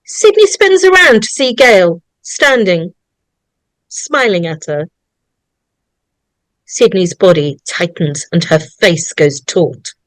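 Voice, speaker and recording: A woman reading scripted stage directions as narrator, deliberate and storytelling. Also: fairly low pitch, lively intonation, no echo, poor audio.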